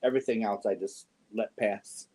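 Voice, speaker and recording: A man in his fifties chatting casually with viewers on a yarn livestream, joking and often clearing his throat. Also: fairly low pitch, fairly even intonation, moderate pace, darkish tone. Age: 50s. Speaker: yarn channel host. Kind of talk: casual livestream chat answering viewer comments.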